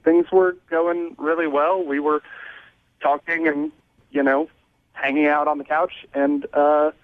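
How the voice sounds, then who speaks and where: Male radio caller, higher-pitched, hesitant and evasive, dry narrow phone line. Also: flat delivery, a little slow, no room sound. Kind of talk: casual storytelling. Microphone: phone call.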